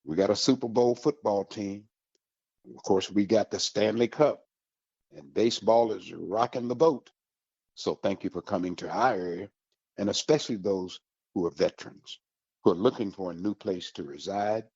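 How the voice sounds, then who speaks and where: A man with a low, husky voice hosts a community radio show, selling the area to listeners in a folksy, upbeat way. The sound is dry and a little muffled, like a lo-fi broadcast. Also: moderate pace, a little mellow, no room sound. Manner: folksy, upbeat promotional delivery. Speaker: radio host.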